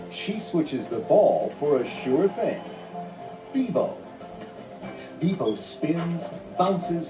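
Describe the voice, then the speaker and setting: A man narrating a scripted storybook read-along in a measured, dramatic storytelling manner. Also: on the high side, reverberant, far from the mic, poor audio.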